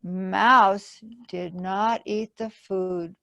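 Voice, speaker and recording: A woman voicing a big, polite, food-loving male monster in a children's storybook, speaking slowly and courteously. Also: medium pitch, steady tone, creaky, bright, forceful tone, no echo, dull audio, heavily acted. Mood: proud, content.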